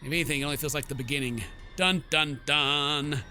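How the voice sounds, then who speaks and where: Guy doing lets play, bright higher voice, dramatic reading plus jokey asides, game music behind. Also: fairly quick. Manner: animated, theatrical, jokey. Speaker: gaming video host. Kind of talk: game playthrough commentary mixed with dramatic read-aloud narration.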